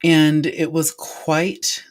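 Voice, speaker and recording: A man's voice: on the high side, monotone, drawn-out words. A man behind the camera joining a casual chat, rambling and filling his talk with "like". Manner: rambling, fills with "like" a lot. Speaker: camera operator and co-host.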